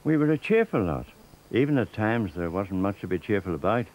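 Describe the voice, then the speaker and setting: A man's voice: lowish voice, big pitch swings, fairly quick, a little mellow, poor audio. Older Northern Irish man narrating. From Northern Ireland. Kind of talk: scripted documentary narration.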